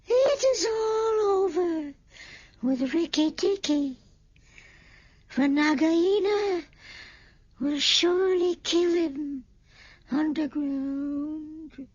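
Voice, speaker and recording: A woman voicing a small mournful bird in a slow, drawn-out wail on an old radio recording. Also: high-pitched, a little mellow, no room sound, highs cut off. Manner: slow, wailing, drawn-out delivery. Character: small mournful bird lamenting a mongoose's death.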